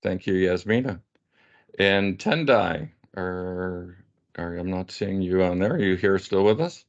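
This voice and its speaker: A man moderates a panel casually. His voice is deep and low, heard over a slightly dull video call. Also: measured pace.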